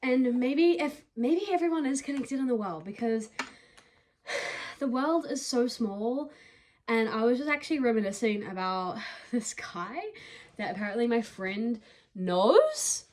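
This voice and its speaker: Young female vlogger, New Zealand accent, higher-pitched voice, relaxed informal storytelling straight to the viewer. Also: unhurried. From New Zealand, from Dunedin. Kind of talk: casual chatty storytelling to camera.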